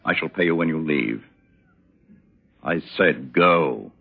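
An actor playing a middle-aged, bullying colonial colonel with a rigid, peremptory military manner in a drama. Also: male voice, rumbling low, animated, slow, gravelly, husky, full character voice. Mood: contemptuous, angry. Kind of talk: dramatic acting. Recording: dull lo-fi sound with faint noise.

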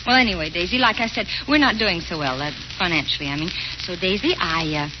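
An actress playing a scheming, dramatic young wife in a 1940s radio play, coaxing and theatrical, on a dull old radio recording. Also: female voice, on the low side, fast, background noise, close to an everyday voice. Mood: sheepish.

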